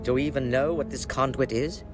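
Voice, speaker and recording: Game councilor, male alien played by a man: intellectual, precise, condescending, clear music underneath. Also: mid-range voice, brisk, close to an everyday voice. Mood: skeptical. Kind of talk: video game cutscene acting.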